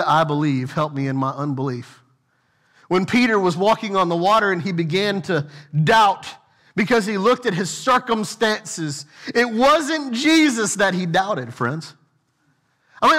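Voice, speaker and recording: High-voiced preacher pacing the stage. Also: male voice. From United States. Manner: earnest preaching, swings between soft low asides and raised emphatic lines. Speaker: senior pastor. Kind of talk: sermon. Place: large church sanctuary with stage.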